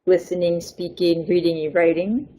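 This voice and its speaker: A young woman education advisor, a native Spanish speaker, giving a webinar lesson in a clear, patient way, stressing points by repeating words. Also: fairly low pitch, steady tone, unhurried, dark tone. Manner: clear, patient explaining with fillers and repeated emphasis.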